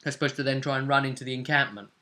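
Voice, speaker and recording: A man with a crisp, very bright voice at an average pitch talks through a tabletop game. He sounds like a game master in informal conversation, nudging his listener to agree as he goes. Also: rapid-fire. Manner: informal, seeks agreement with tag questions. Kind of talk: casual chat recapping game play.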